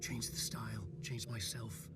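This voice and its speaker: An actor playing a brooding writer who narrates dark horror prose in terse, intense, often hushed fragments. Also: male voice, fairly low pitch, fairly even intonation, measured pace, close to an everyday voice. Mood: brooding, searching. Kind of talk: dramatic literary monologue. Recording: distant voice under loud music.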